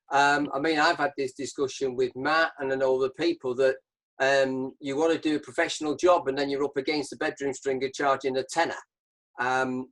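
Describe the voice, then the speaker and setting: A man's voice: mid-range voice, rough recording. A man hosting an informal discussion on a video call, explaining his reasoning step by step. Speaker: host, badminton coach.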